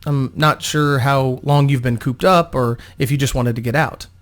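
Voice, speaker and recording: A man's voice: mid-range voice, steady tone, normal speed, natural voice. A man voicing a female bodyguard and adventurer who is courteous, practical and protective, in a storybook reading. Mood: friendly, reassuring.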